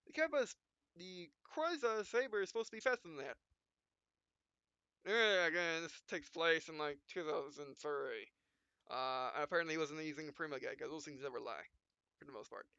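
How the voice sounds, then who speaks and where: A man's voice: high-pitched, sharp tone, clean tone, no room sound, dull audio. A man playing a visual novel, reading its text and punctuation aloud in a mock-dramatic way between casual remarks. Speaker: let's play gamer.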